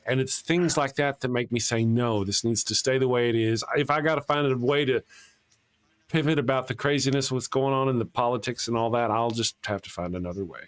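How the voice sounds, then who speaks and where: A man's voice: mid-range voice, a little fast. A man with a Texas accent hosting a casual live-stream chat show, joking around and reading out viewer messages. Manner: laid-back, jokey, reads out super chats. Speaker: host.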